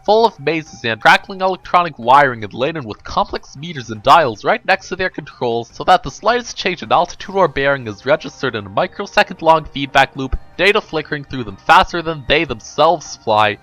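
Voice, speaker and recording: A man's voice: a little high, animated, brisk, few pauses, a bit bright, muffled recording, faint music behind. A man giving a theatrical, emphatic dramatic reading of a comedic story. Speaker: narrator reading a book aloud.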